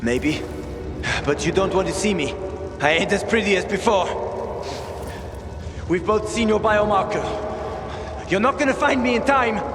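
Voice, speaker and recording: A bitter, streetwise survivor in a dramatic video game, cornered and defending himself. His voice sits a little high for a man, with a world-weary, defensive edge. Game music plays clearly behind him. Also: unhurried, long pauses. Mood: taunting.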